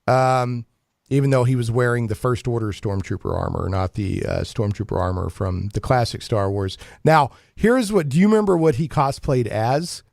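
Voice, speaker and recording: A man hosting a casual geek-news talk show, chatting in a jokey, animated way with playful emphasis. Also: mid-range voice, normal speed, vocal fry, no echo, clean studio sound. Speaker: radio talk show host.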